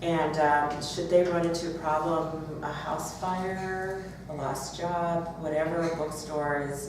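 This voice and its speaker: A woman author speaking and reading aloud at a live event. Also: sits low. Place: bookstore, audience event. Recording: roomy, distant, noisy live event recording.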